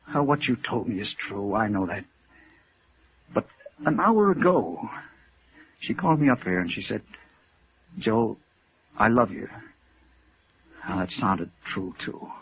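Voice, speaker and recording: A man's voice: fairly low pitch, lively intonation, a little slow, long pauses. An American actor playing a flustered, defensive man in love, faltering through a 1950s radio drama scene. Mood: defeated, bewildered. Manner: hesitant, indignant, faltering. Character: flustered, lovesick, defensive man who gives in. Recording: dull vintage radio recording.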